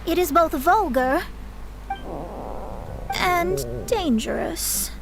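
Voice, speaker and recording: High-voiced haughty young astrologist. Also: female voice, very slow, noisy background, full character voice. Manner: pompous, formal. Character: proud young female astrologist, haughty, easily flustered. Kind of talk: video game voice acting. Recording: game music behind the voice.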